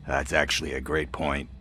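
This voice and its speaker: A tied-up informant in a video game who stammers and then haggles with wisecracks. His voice is very deep and husky. His pitch swings widely. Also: sharp tone, heavily acted.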